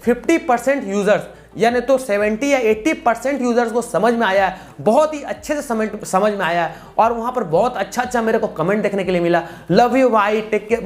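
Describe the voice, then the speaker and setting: A man in his twenties with an Indian accent, hosting a casual step-by-step tutorial in a repetitive, instructional way. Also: sits high. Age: 20s. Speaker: YouTube tutorial host.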